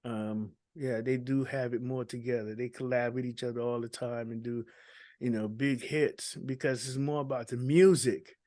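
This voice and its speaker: A man's voice: mid-range voice, little pitch movement, moderate pace, dark tone, dry recording, studio quality. A man speaking as a dancehall artist in an interview, talking casually with many ums and restarts.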